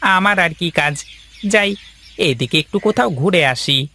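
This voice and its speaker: A man's voice: high voice, lively intonation, a little slow, bright, forceful tone, full character voice. A man playing a chatty, nosy villager in a comic cartoon tale, familiar and teasing in manner. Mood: breezy, curious. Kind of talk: Bengali cartoon story acting.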